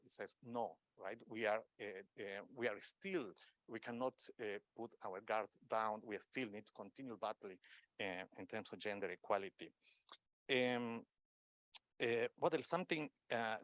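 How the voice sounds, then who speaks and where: A middle-aged man from Peru, speaking as an academic on a conference panel, unscripted and halting, often searching for words between fillers. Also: lowish voice, fairly bright tone, no room sound.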